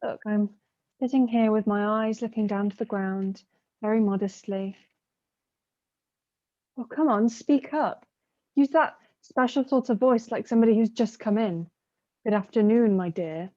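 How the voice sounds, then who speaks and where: A woman's voice: mid-range voice, a little slow, pauses often, warm tone, lightly acted. A woman playing a bitter, defiant young daughter in a stage play, with a sardonic, mocking manner. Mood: imperious, goading. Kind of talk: theatrical play acting. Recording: dull muffled video-call audio.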